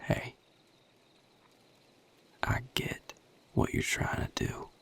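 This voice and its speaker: A man playing a guarded, world-weary vampire in an intimate audio roleplay, with a Southern US accent and a breathy, gravelly drawl, speaking in measured, hushed phrases. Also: a bass voice, full character voice. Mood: empathetic, firm. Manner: measured, hushed, plain-spoken with deliberate pauses. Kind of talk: intimate audio roleplay acting addressed to the listener.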